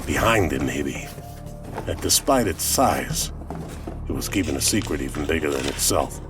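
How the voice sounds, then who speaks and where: An actor playing an older private detective, narrating a noir audio drama in a wry, colloquial, world-weary way. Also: male voice, rumbling low, expressive. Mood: intrigued, musing. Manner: wry, colloquial, deliberate storytelling. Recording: music under the voice. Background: music.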